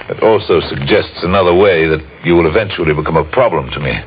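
A very deep, suave male devil from a radio horror play. He speaks with silky formality and calm, taunting menace. The old broadcast is muffled, with faint noise. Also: normal speed. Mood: coolly menacing.

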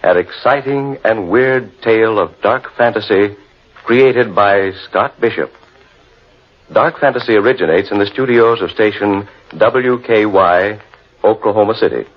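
Muffled vintage radio announcer, male. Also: lowish voice. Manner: formal, measured. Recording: muffled old radio broadcast.

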